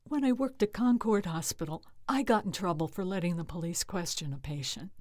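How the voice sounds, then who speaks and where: A woman's voice: lowish voice, fairly quick, no echo, natural voice. Woman acting a frightened, bitter patient, dry close sound. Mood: indignant, distressed. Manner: emotive, hushed at fearful moments. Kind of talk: dramatic first-person short story reading.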